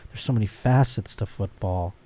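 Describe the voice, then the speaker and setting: A man's voice: fairly low pitch, moderate pace, warm tone. A middle-aged American man hosting a podcast in casual, comedic banter, joking and teasing as he talks. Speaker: podcast host.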